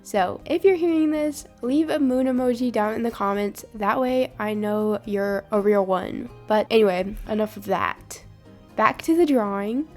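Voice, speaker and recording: A young woman, hoarse from a sinus infection, chatting upbeat in a voiceover about her drawing. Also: medium pitch, darkish tone. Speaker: art channel host. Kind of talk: voiceover over drawing footage.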